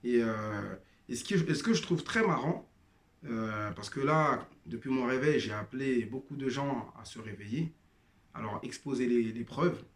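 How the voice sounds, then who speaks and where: A man's voice: mid-range voice, moderate pace, echoey room. A man giving a personal testimony monologue, hesitant with many fillers and restarts, addressing listeners as brothers and sisters. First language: not Arabic-speaking. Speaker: former member sharing testimony.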